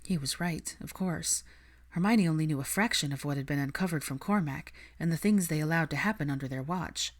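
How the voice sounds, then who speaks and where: A woman narrates a fan fiction story. Her voice sits low for a woman. The delivery is scripted audiobook reading. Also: fairly quick.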